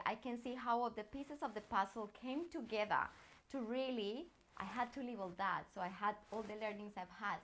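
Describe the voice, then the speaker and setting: A woman's voice: mid-range voice, fairly bright tone, a little hiss or hum. A woman from Colombia with a Colombian accent and native Spanish, speaking as a personal branding coach in an encouraging, earnest motivational talk straight to the listener.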